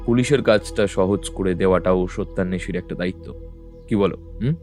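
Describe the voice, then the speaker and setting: A man playing a composed, observant detective in an audio drama, talking in a measured, thoughtful, familiar way. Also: low-pitched, a little mellow, faint music behind, lightly acted. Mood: purposeful.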